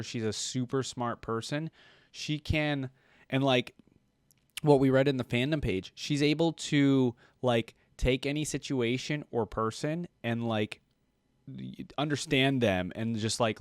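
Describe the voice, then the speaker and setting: A man hosting a reaction video, talking casually as an enthusiastic fan and thinking out loud. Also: medium pitch, no room sound, clean studio sound.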